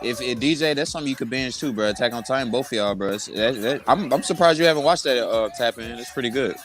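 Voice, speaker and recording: A man co-hosting a live stream, talking in a rambling, excitable way with lots of slang and fillers. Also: mid-range voice, fast, soft background music. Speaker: stream co-host. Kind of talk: casual watch-along chat.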